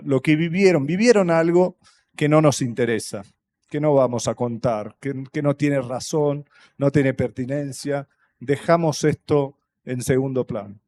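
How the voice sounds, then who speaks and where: A man from Brazil whose first language is Portuguese, speaking Spanish with a Brazilian accent on a festival panel, thoughtful and halting, with many fillers and restarts. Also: medium pitch, darkish tone. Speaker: panel guest, writer. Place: stage at a public festival panel.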